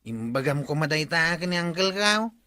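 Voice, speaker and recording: Bright, highish-voiced idle village man, acted out. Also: animated, normal speed, no echo. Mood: dismissive. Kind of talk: Ilocano radio comedy drama acting.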